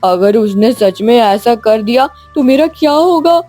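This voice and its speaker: A woman's voice: a little high, expressive, unhurried, dark tone, faint music behind. A woman voicing a worried, self-pitying young boy in a cartoon story, fretful and lamenting. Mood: anxious.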